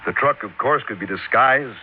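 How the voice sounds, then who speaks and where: An American man playing a gruff, loyal trucking company boss in 1950s radio drama, firm, earnest and defensive. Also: mid-range voice, moderate pace, close to an everyday voice. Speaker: supporting actor in radio drama. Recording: tinny, faintly noisy old radio broadcast.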